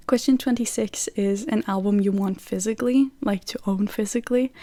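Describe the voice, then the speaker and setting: A woman's voice: medium pitch, dark tone, dry recording. A young woman from Norway with a Norwegian accent, chatting casually and listing songs with lots of "like" fillers. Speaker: vlogger. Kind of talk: casual chat.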